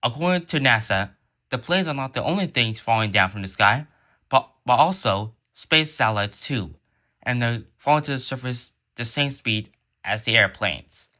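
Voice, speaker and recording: A man's voice: medium pitch, expressive, moderate pace, sharp tone. A man reading scripted emergency alert narration in a formal, solemn announcer manner.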